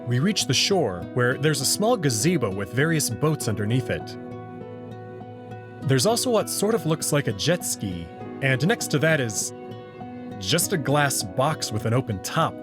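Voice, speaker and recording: A man giving scripted first-person narration for a visual novel story. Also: mid-range voice.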